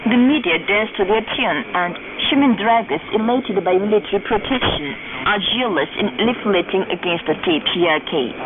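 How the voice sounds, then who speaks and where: A woman's voice: mid-range voice, lively intonation, moderate pace, few pauses. Woman newsreader on crackly, narrow shortwave radio. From North Korea. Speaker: radio news announcer. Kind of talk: formal scripted news reading. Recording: noisy, narrow-band shortwave radio recording.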